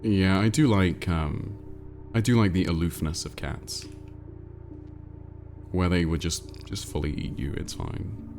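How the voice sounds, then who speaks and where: Male livestream host, low and creaky, stuffed-up nose, rambling casual chat, faint music behind. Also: unhurried, pauses often, darkish tone. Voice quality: nasal, congested. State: congested with a cold. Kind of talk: casual livestream chat, reading viewer messages aloud.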